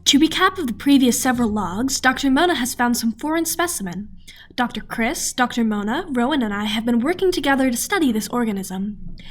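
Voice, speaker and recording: A high, youthful female voice played by an actress for an audio drama. She is an eager student scientist, full of wonder. She rambles and interrupts herself as she dictates her log. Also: expressive, brisk. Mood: awed, fascinated. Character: eager young female doctoral student scientist, friendly, fascinated. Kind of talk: radio play audio log monologue.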